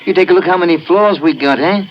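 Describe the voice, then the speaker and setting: An actor playing a blunt, scoffing immigrant janitor in a 1950s radio drama. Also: male voice, high voice, quick pace, slight background noise, close to an everyday voice. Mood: scornful amusement. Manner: blunt, scoffing, singsong questions. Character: scoffing immigrant tenement janitor, gruff and amused. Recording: muffled vintage 1950s radio recording.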